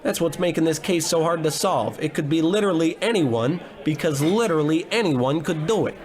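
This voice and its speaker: A man acts out a bumbling private detective in a comic radio play. His voice sits fairly high, and he blusters and grumbles along with great self-importance, oblivious to everyone around him. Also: brisk, lightly acted. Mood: blustery, oblivious. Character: bumbling, blustery, gluttonous male private investigator. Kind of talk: scripted comedy in old radio detective style.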